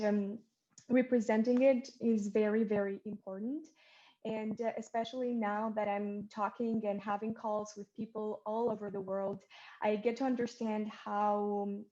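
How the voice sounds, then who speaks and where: Mellow woman in a dull, lo-fi recording. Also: mid-range voice. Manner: fluent, structured. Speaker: student intern. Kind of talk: panel interview answer.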